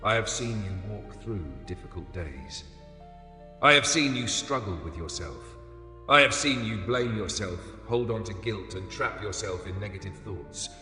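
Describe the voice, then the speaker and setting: A man giving a scripted religious narration as the voice of God, solemn and soothing, in short deliberate phrases. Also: on the low side, expressive, pushed and bright. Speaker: devotional narrator speaking in the voice of God. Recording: echoey, long reverb over music. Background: music.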